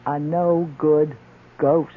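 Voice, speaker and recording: Old-time radio, narrow muffled sound: forgiving middle-aged woman, tipsy and wistful, husky edge, emphatic delivery. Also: low voice, level tone, very slow, heavily acted. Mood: tipsy, fond.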